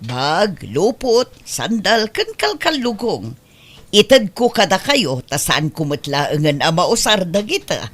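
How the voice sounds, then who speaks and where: Woman as lively, swooping lady of the house. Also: medium pitch, slow pace. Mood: easygoing, generous. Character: commanding but generous lady of the house. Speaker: voice actress. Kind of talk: Ilocano comedy drama acting.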